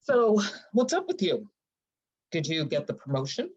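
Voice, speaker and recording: Lively, lowish-voiced actress as a playful, teasing female best friend; table-read drama, lo-fi sound. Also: normal speed, slightly rough, heavily acted. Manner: animated, teasing. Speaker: actor in a screenplay table read. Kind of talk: live dramatic script reading. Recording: dull lo-fi audio.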